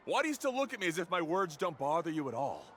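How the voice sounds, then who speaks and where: An actor playing a proud, defensive rival warrior in a video game, with a brash, boastful delivery. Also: male voice, on the high side, big pitch swings, quick pace, fairly bright tone, slight background noise. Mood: defensive, frustrated.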